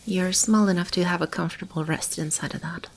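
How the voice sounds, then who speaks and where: A gentle female demon, acted by a woman, speaks in a lowish, creaky voice with a tender, reassuring manner. It is an intimate, soft-spoken ASMR roleplay, with animal and bird ambience behind her. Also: fairly even intonation, brisk, slight background noise, lightly acted. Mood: soothing, hushed. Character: gentle, caring female demon sheltering animals and a lost child. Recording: clearly audible ambient animal and bird sounds.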